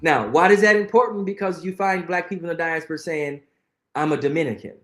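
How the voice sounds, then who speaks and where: A man's voice: on the high side, fast, roomy sound. A middle-aged man, a guest on an interview show, speaking earnestly and emphatically, often repeating phrases for weight. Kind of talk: conversational interview, reflective storytelling.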